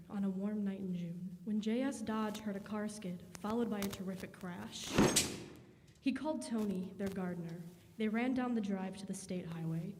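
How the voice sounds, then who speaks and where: A woman's voice: mid-range voice, warm tone, slightly rough. A woman announcing and narrating a scripted radio drama live in an auditorium. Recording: echoey auditorium.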